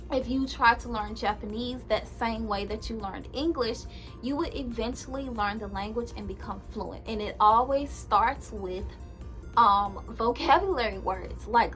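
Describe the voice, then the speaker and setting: Chatty young woman, higher voice. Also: fairly bright tone. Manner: chatty, many "like" and "um" fillers, emphatic repeats. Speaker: language-learning vlogger. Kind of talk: casual talk to camera.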